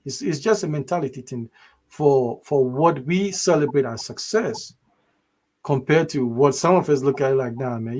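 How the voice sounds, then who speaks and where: A man from Ghana co-hosting a podcast, talking in an emphatic, motivational way with many restarts. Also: mid-range voice. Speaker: podcast co-host. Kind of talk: casual discussion.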